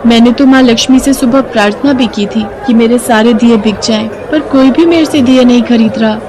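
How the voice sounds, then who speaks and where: An innocent little girl from a storybook, acted by a woman. The voice is high and childlike, with an earnest, pleading way of talking. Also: a little fast, crowd noise, natural voice, poor audio. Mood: sad, pleading.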